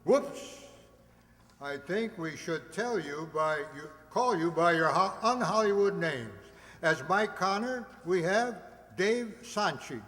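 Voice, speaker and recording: High-voiced man announcing in a reverberant hall. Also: lively intonation, takes it slowly, fairly bright tone. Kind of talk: live cast announcement to an audience. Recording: reverberant hall, audience noise.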